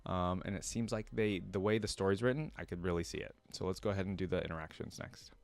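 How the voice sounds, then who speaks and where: Low-voiced man reacting casually, dry sound. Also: quick pace, dry recording. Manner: curious, thinking aloud. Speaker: reaction video host. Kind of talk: casual reaction commentary with some reading aloud.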